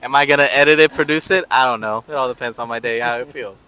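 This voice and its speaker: A man whose first language is not English hosts a vlog at a convention, his voice bright and forward. He talks loosely and jokes a lot, laughing between lines and letting sentences trail off. His pitch sits in an ordinary middle range. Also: fast talker. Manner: joking, laughs often, trails off mid-sentence. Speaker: vlog host. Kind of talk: casual chat. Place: convention center.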